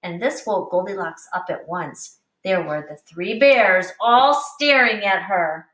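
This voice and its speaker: A woman teacher reading a picture book aloud to young children with deliberate, explanatory expression. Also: mid-range voice, fairly bright tone. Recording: echoey room, distant mic.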